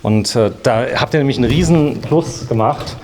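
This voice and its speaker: A man's voice: mid-range voice, moderate pace, darkish tone. Casual male presenter with some background noise. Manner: informal, chatty, jokey. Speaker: TV presenter touring show homes. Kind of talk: casual interview while walking around. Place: walking around a show house.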